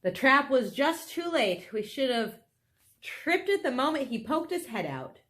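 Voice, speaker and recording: A woman's voice: high voice, big pitch swings, unhurried, fairly bright tone, pitched above the performer's own voice, heavily acted. Woman as a fretful, plotting farmer man. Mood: dismayed. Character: fretful, plotting male farmer, eager to dig. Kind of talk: children's storybook read aloud.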